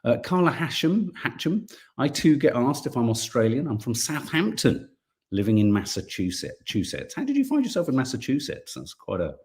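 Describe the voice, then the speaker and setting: A man's voice: medium pitch, wildly swooping pitch, moderate pace, a little mellow. A man hosting a casual live stream, chatting and reading viewer comments aloud, sometimes tripping over his words. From English Midlands.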